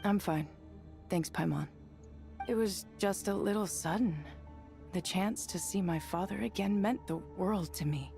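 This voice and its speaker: A woman's voice: mid-range voice, a little slow, creaky, darkish tone, soft background music. A woman voicing a stoic, tender young woman in a video game, with restrained, subdued delivery. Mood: subdued relief.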